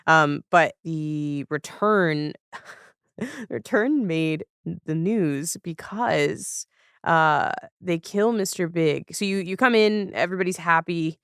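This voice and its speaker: A woman's voice: on the low side, a bit bright, clean tone, no room sound, studio quality. A woman hosting a casual podcast conversation, chatty and rambling in the way she talks. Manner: chatty, rambling, quick to laugh. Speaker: podcast host.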